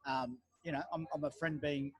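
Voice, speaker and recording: A man's voice: on the high side, fairly even intonation, moderate pace. A man hosting a podcast in a cafe, chatting loosely with many fillers and restarts. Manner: rambling, lots of fillers and restarts. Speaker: podcast host. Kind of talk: casual interview chat.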